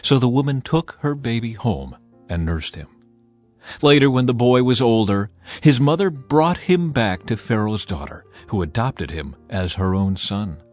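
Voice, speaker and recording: A man reading scripted Bible narration in a measured, expressive storytelling manner. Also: medium pitch. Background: background music.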